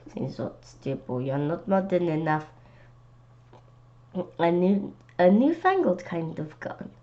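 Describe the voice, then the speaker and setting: A woman's voice: fairly low pitch, very animated, slow. A woman acts out a storybook army chief, an older man who scolds and boasts. The delivery is dismissive and cocky. The sound is a little dull and lo-fi. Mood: dismissive, boastful.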